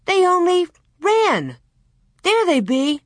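A bossy young farm boy as a woman storyteller acts him out speaks up high and proud. He gives orders with confidence, in the manner of a children's storybook. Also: sing-song, slow pace, no echo, clean studio sound, exaggerated voice. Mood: bossy, confident. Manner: commanding, shouting orders.

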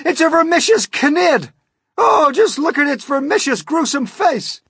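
Shrill, bellowing, panicky police chief. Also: male voice, sing-song, slow pace, husky, no echo, studio quality, exaggerated voice. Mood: alarmed shouting. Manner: shouting, blustering, panicky. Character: loud, excitable, alarmed male police chief.

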